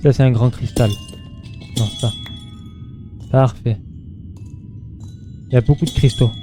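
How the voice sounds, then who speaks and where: A man's voice: medium pitch, steady tone, measured pace, music in the background. A man doing casual gameplay commentary in an informal, slangy way, muttering to himself and chatting to his viewers. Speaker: gaming streamer.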